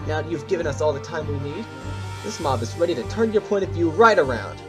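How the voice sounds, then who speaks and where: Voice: mid-range voice, animated, fast, music in the background, lightly acted. A performer playing a cocky, eager young male wolf pup witness in an audio drama, brash and casual. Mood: cocky, excited. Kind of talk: courtroom audio drama acting.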